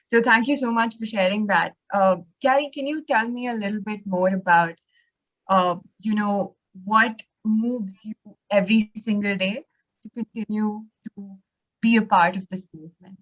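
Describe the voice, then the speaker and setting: A woman hosting a podcast, speaking politely and in a measured way. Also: mid-range voice, dull audio. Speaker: podcast host.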